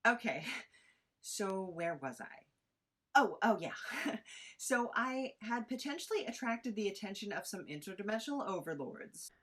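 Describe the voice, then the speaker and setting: A woman's voice: medium pitch, very animated, unhurried, a bit bright, voice pushed up. A woman voicing a whiny, sarcastic immortal trainee in a comic sci-fi audio drama, rambling and protesting. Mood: wry, rambling. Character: Napoleon, exasperated, whiny immortal trainee adventurer.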